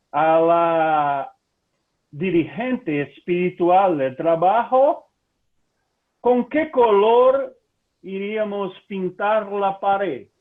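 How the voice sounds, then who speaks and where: A man from Brazil speaking Spanish with a Brazilian Portuguese accent, giving a formal lecture and mixing in Portuguese words. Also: sits high. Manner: slow, deliberate, mixes Portuguese into Spanish. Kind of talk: formal lecture over video call. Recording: tinny phone-like audio.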